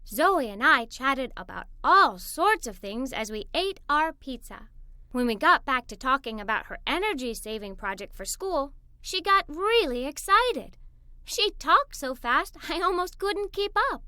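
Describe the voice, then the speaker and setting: High, bright, animated woman storyteller. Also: measured pace, no echo. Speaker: story narrator. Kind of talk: scripted children's story read-aloud, first person as a young girl.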